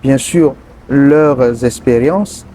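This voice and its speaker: A man from Togo, answering interview questions earnestly and pausing often mid-sentence to find his words. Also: medium pitch, dark tone, slight background noise. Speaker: interview guest, politician.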